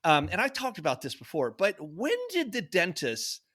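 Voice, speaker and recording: Bright, forceful man chatting on a podcast. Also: sits high, very animated, normal speed. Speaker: podcast co-host. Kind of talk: casual banter and storytelling.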